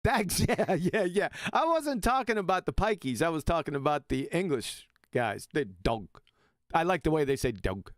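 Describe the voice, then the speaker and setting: A man with a fairly high voice that swings up and down talks playfully in an online group call, dry and close to the mic. He teases the others and laughs easily. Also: moderate pace, no room sound. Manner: animated, teasing, laughs a lot. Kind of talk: casual group voice chat.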